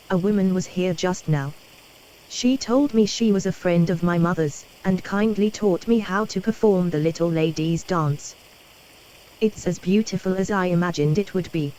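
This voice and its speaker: An actress playing a gentle, frail young noblewoman in a video game, with formal, measured, polite phrasing. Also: lowish voice, dull audio, slight background noise, close to an everyday voice. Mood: grateful. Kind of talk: scripted video game dialogue. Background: game music.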